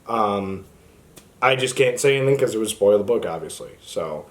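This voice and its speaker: A man's voice: on the low side, lively intonation, moderate pace. A man hosting a book channel, talking casually to the camera in an informal, rambling way. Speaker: book review channel host.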